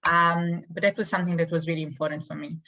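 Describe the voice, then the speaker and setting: A woman with an Israeli accent, answering interview questions in a thoughtful way with many pauses. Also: fairly low pitch, steady tone, sharp tone, dull audio. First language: Hebrew. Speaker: guest, community emissary.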